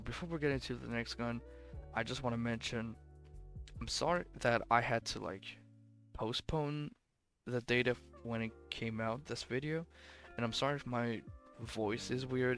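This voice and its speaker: A man's voice: medium pitch, fairly bright tone. A young man casually commenting on game weapons, rambling and correcting himself, his voice a bit worn and sleepy. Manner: rambling, self-correcting, offhand. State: voice worn from long recording, sleepy. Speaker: gaming commentator ranking weapons.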